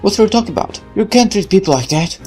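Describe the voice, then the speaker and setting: A woman's voice: medium pitch, animated, quick pace, a little mellow, faint music behind, pitched above the performer's own voice, close to an everyday voice. A woman voicing a naive, idealistic young male soldier, earnest and questioning, in a visual novel game. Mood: indignant.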